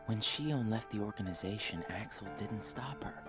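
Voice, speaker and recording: A man playing a confused, troubled and quietly resentful teenage boy in a video game cutscene. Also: lowish voice, moderate pace, music in the background, natural voice. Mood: brooding, confused.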